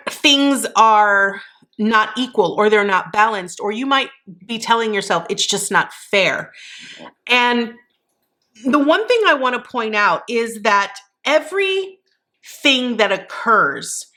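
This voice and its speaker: A woman giving a monthly tarot reading in a reflective, encouraging way, with a rough voice and a slight cough. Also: a little high, sharp tone. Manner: reflective and encouraging, with many fillers. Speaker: psychic tarot reader.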